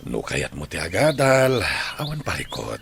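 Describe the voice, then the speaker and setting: Guy doing a low creaky older ilocano suitor in a radio drama, persistent, coaxing. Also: wildly swooping pitch, normal speed, slight background noise, exaggerated voice. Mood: coaxing, miffed. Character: rich older suitor, smooth-talking, persistent.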